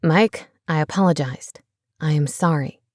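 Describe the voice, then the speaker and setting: A woman's voice: low voice, measured pace, dry recording, clean studio sound, pitched below the performer's own voice. A woman reading voicing a bitter, newly cloned man who lashes out in anger. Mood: pleading, frustrated. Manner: angry, forceful.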